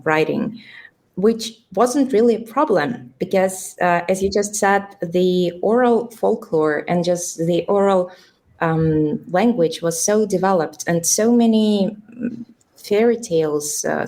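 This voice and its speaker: A woman's voice: lowish voice, darkish tone. A young woman, a podcast guest answering at length in a casual, thoughtful way with many fillers and restarts. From Tuva, Russia. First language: Russian.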